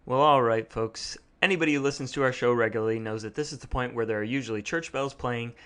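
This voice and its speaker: A man's voice: mid-range voice, fast talker, a bit bright. A middle-aged man hosting a podcast, talking earnestly and reflectively about family. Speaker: podcast host. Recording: echoey room.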